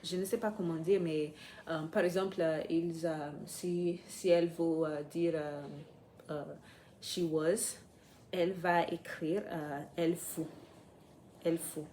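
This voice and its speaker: A woman speaking French as a second language, talking unscripted in a vlog, pausing often and searching for words. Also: fairly low pitch, steady tone, warm tone, a little hiss or hum. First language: not French. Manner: hesitant, many pauses and fillers, searching for words. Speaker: French learner vlogger.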